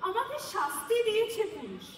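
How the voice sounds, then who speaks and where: An actress playing a proud, wronged mythological wife in a theatrical stage monologue, deliberate and bitterly ironic. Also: female voice, very high voice, wildly swooping pitch, fairly bright tone, full character voice. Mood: bitter, sardonic. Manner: dramatic, deliberate, declamatory with bitter irony. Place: stage before a live audience. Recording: echoey hall, distant mic, audible crowd.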